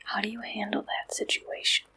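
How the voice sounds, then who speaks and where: A woman whispering an ASMR roleplay as an attentive dating service matchmaker, speaking breathily and deliberately in short phrases. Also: sharp tone, low-quality recording. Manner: slow, deliberate questionnaire-style delivery in short phrases.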